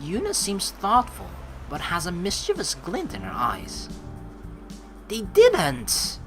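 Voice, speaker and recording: A woman's voice: mid-range voice, wildly swooping pitch, takes it slowly, faint music behind. A woman doing chatty let's play commentary, reading game lines aloud expressively. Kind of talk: casual gameplay commentary and script reading.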